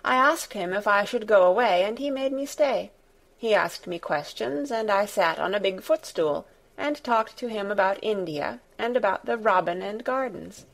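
A frank young girl from a storybook, voiced by a woman, pitched up from the narration. She is determined and curious, and speaks in a direct, matter-of-fact way. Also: expressive, normal speed, creaky. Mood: pleased, matter-of-fact.

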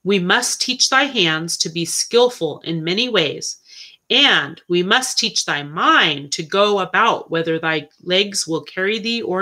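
A woman's voice: mid-range voice, pushed and bright. A woman voicing a humble, caring friar in a storybook, with earnest, archaic speech. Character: humble, caring medieval friar encouraging a boy.

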